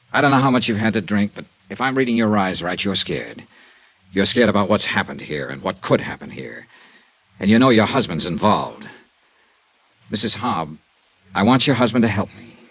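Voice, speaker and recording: Low-voiced, lively radio detective. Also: male voice, no echo. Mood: insistent, earnest. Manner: clipped, probing, dry. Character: tough, wry freelance insurance investigator, adult man. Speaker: lead actor and narrator. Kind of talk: 1950s radio detective drama acting.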